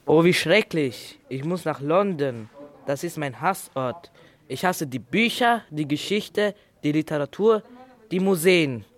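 A man's voice: a little high, lively intonation, unhurried. A young male pupil playing a gloomy young man in an amateur school radio play. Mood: indignant. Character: gloomy young man, angler who hates London.

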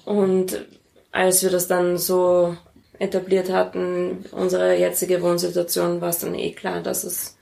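Woman, Austrian accent, flat intonation, noticeable vocal fry, conversational interview guest. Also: on the low side, unhurried.

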